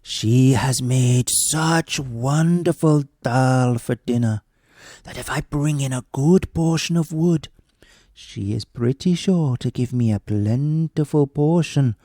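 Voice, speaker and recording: Brief: a man playing a crafty woodman, wheedling, mellow tone, slow, dry sound. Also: no echo. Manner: sly, wheedling, rising pitch. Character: crafty, hungry poor male woodman. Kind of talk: storybook read-aloud.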